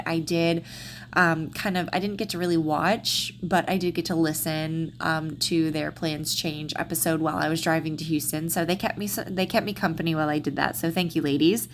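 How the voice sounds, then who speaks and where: A woman's voice: fairly low pitch, a bit bright, a little hiss or hum. A slightly congested woman with a Southern accent, chatting casually through a planner voiceover with lots of ums and restarts. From Texas, USA. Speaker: planner vlogger.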